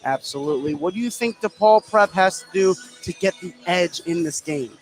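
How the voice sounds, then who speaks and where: A man's voice: high voice, normal speed, soft background music, poor audio. A man doing live play-by-play commentary of a basketball game on the radio.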